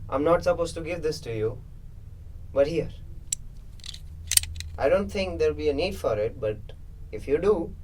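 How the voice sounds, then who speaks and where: A man's voice: mid-range voice, a little mellow, lightly acted. A man playing a flippant, sweary lab assistant in a radio play, talking in a casual, dismissive way. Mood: flippant, offhand. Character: crude, flippant lab assistant briefing experiment volunteers. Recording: distant mic, faint background noise.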